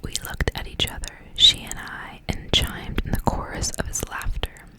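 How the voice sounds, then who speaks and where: Female ASMR reader: fully whispered, soft and breathy, hushed storytelling delivery. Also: normal speed. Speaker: ASMR reader and channel host. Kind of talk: ASMR whispered storybook reading with casual asides.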